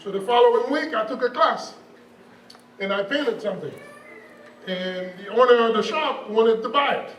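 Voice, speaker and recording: A man's voice: very high-pitched, moderate pace, muffled recording. A man, an artist and parent, telling a personal anecdote and acting out the dialogue he quotes. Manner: storytelling, acts out quoted dialogue. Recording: echoey room from far off, faint background noise.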